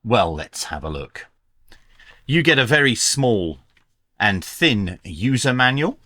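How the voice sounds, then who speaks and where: A man presenting a scripted product review in a measured, dryly humorous presenter's delivery. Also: medium pitch, big pitch swings, sharp tone. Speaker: radio review channel host.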